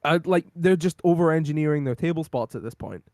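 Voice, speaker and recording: A man co-hosting a podcast and chatting casually, rambling with lots of ums, his voice going hoarse. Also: on the high side, lively intonation, fast, darkish tone, no room sound, clean studio sound. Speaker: podcast co-host. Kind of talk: casual wrestling recap chat.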